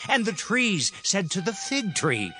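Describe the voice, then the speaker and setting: A man performs an impassioned, wronged speaker in a biblical audio drama. His high voice declaims solemnly, leaning on key phrases. Music plays clearly underneath. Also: wildly swooping pitch, unhurried, bright, forceful tone, full character voice. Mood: storytelling, solemn. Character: impassioned wronged young man proclaiming a parable and curse. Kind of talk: dramatized Bible reading. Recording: music behind the voice.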